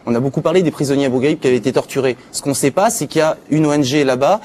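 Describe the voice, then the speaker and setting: A man's voice: mid-range voice, racing, darkish tone. A man giving an informal spoken chronicle on a live talk show, as a news contributor. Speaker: contributor presenting internet news.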